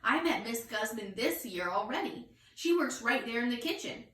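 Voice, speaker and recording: A woman's voice: fairly high pitch, lively intonation, normal speed, sharp tone. A woman voicing an easygoing, matter-of-fact young schoolboy in a children's storybook, plain-spoken and casual. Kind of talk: children's storybook read-aloud. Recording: roomy echo, distant mic.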